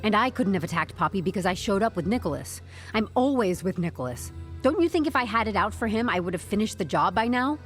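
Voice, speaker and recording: An actress playing a composed, stubborn HR newcomer in an audio drama, speaking with deadpan corporate politeness and wry candour. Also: female voice, medium pitch, quick pace, faint music behind, lightly acted. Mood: insistent. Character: composed, stubborn young HR newcomer turning interrogation into interview.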